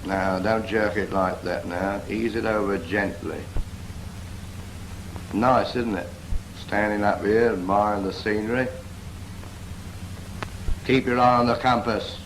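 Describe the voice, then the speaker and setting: A man playing a patient, brisk Royal Navy instructor in a 1940s British training film, firm and fond of prompting with questions. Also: lowish voice, steady tone. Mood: instructive. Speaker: naval steering instructor. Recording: noisy 1940s film soundtrack.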